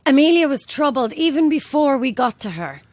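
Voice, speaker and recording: A woman's voice: sits high, very animated, a little fast, darkish tone, no echo. A woman playing an evasive, motherly nurse in an audio horror drama, placating and controlled. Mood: earnest, controlled. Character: calm, evasive motherly nurse leading a spiritualist group.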